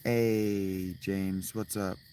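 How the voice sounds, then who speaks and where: A man with a hoarse, strained voice, rambling and swearing as he chats casually with viewers on a livestream outdoors at night. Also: sits low, little pitch movement, very slow, warm tone, a little hiss or hum. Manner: rambling, profane, restarts often.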